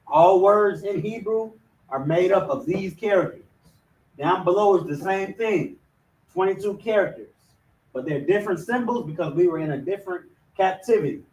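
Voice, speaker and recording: Male American religious class instructor. Slightly high voice, emphatic and conversational, peppered with verbal tags, picked up from a distance. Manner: emphatic, with frequent "you know what I'm saying". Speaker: religious group officer teaching a Hebrew class. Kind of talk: informal religious language lesson to camera.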